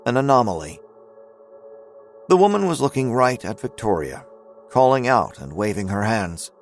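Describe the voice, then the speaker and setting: A man's voice: medium pitch, very animated, moderate pace, faint music behind. A man narrating a scripted audio drama as its storyteller.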